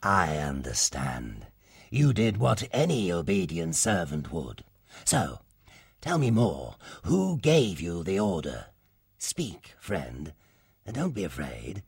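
A man's voice: low voice, wildly swooping pitch, no echo, clean studio sound, full character voice. A man voicing a cruel, menacing male wildcat warlord in a storybook reading, speaking with silky, mocking menace. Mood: coaxing. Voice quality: gravelly, husky, slow and deliberate.

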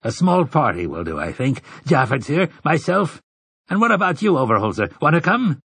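A man's voice: a little high, very animated, normal speed, a bit bright, slightly rough, no echo, voice pushed up, full character voice. A man voicing a stern old priest in an audiobook, commanding and oratorical, with rustic, archaic turns of phrase. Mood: commanding, assured. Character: stern, weathered old priest rallying frightened villagers. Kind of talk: audiobook character dialogue.

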